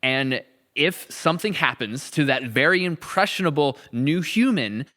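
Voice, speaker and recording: A man talks casually on a podcast in a bright, forceful voice. He reflects on his own writing, rambling as he thinks aloud and leaning hard on key words. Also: fairly high pitch, expressive, moderate pace. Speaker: audio drama writer and podcast co-host.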